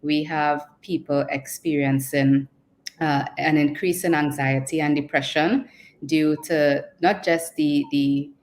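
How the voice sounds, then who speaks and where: A woman's voice: low voice, fairly even intonation, slow, darkish tone, a little hiss or hum. A woman speaking as a psychologist panelist, explaining things.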